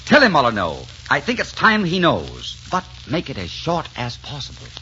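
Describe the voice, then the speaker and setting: Through a dull 1944 radio broadcast comes a dashing prince, gallant and mocking. His theatrical voice is bright and swings widely in pitch, full of wit and romantic pride. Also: wildly swooping pitch, moderate pace, a little hiss or hum, full character voice. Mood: amused. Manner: theatrical, witty, taunting. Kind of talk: 1940s radio drama acting.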